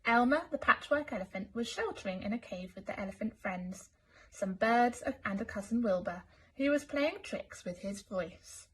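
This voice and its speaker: A woman storyteller reading a children's picture book aloud in an expressive, deliberate way. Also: mid-range voice, slightly rough, low-quality recording. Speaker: school storyteller.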